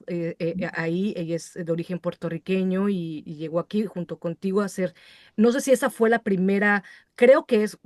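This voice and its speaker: A woman's voice: lowish voice, no echo, clean studio sound. A woman hosting an interview, asking long, rambling questions full of asides. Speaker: interview host. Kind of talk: conversational interview.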